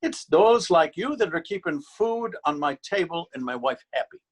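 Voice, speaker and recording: Man acting a stern beat cop, slightly high. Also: animated, normal speed, breathy edge. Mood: dry, weary. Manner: admonishing, authoritative. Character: stern, weary Irish male beat policeman, bullying. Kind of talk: readers theater stage acting.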